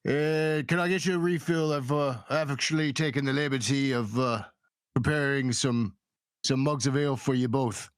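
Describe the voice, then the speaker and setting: A man's voice: mid-range voice, steady tone, moderate pace, smooth, dry recording, lightly acted. A man voicing a nervous, polite tavern bartender in a tabletop fantasy game, hesitant and eager to please. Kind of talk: improvised tabletop roleplay dialogue.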